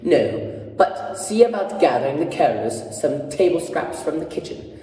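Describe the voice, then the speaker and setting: A man's voice: a little high, big pitch swings, normal speed, dark tone. A man voicing a languid, pompous old society mother in a genteel, drawling manner for a drama. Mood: airily dismissive. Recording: echoey room, distant microphone.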